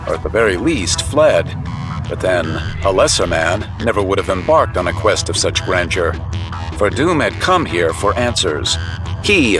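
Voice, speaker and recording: Male narrator, low voice, dramatic scripted storytelling, comic book adventure, loud music behind. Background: prominent music and effects.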